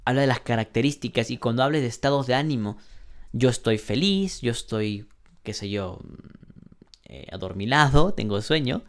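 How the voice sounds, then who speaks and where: Male online English teacher, example-heavy explanations, frequent throat clearing. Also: medium pitch, animated, normal speed. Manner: explains with many examples and rhetorical questions; clears his throat often. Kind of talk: online lesson.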